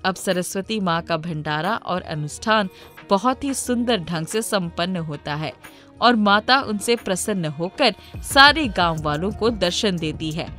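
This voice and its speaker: A woman's voice: on the low side, expressive, a little slow, sharp tone. Woman narrating a story over music. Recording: music under the voice. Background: background music.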